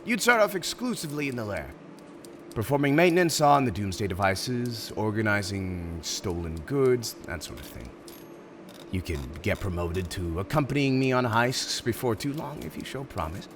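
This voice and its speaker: A man's voice: on the low side, sing-song, moderate pace, noisy background. A man playing an urbane, smug supervillain in an ASMR roleplay, speaking in a courteous, theatrical and emphatic way. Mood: smug, businesslike. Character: urbane, smug male supervillain interviewing a prospective minion.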